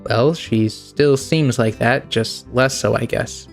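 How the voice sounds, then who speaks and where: A young man streaming, reading a visual novel aloud in a relaxed, casual way. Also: medium pitch, takes it slowly, vocal fry, faint music behind. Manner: relaxed, casual, giggly asides.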